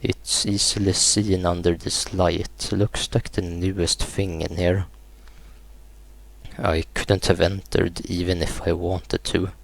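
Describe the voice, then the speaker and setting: A man reading a horror visual novel aloud in a subdued, unhurried way, as a gaming YouTuber narrating a playthrough. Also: low-pitched, flat delivery, gravelly. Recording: music behind the voice. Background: game music.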